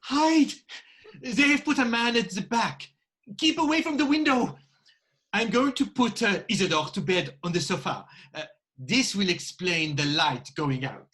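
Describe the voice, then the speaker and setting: An anxious, fussing hotel proprietor from Victorian farce, played by a man. His pitch swings widely as he talks. His manner is urgent and polite, with hesitations. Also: lively intonation, a little slow, fairly bright tone. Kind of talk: staged reading of Victorian farce.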